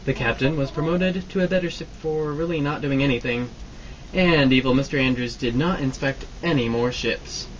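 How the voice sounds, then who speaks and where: A man's voice: medium pitch, moderate pace. A man reading scripted narration for an old-time radio drama parody. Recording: distant, muffled, noisy live amateur recording.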